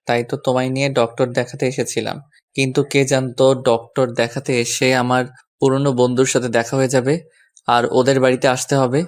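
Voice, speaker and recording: A man's voice: mid-range voice, flat delivery, moderate pace, close to an everyday voice. A young man playing an exasperated, bossy yet fond husband in a romantic audio story, with a scolding, sarcastic manner.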